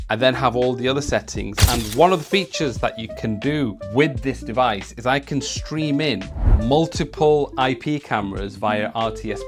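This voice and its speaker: A man giving a casual hands-on product review, chatty, restarting his sentences as he demonstrates equipment. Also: mid-range voice. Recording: clear background music under voice.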